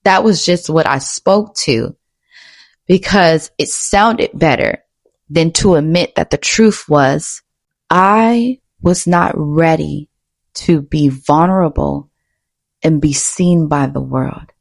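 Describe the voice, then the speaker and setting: Low, creaky-voiced woman speaking candidly. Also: slow pace, no echo. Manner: blunt, emphatic, repeats phrases in short bursts. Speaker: vlogger and author. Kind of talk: candid personal monologue to camera.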